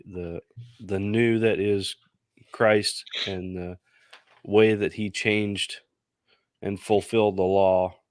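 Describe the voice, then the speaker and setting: A man co-hosting a podcast in casual conversation, his voice congested from a head cold. He speaks on the lower side, and the sound is dry, with no room echo. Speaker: podcast co-host. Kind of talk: casual chat.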